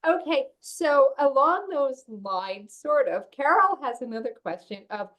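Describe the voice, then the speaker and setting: A woman's voice: high voice, very animated, a little slow, a bit bright. A woman informally moderating a video call, reading out questions sent in by the audience. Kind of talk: informal hosting of an online Q&A.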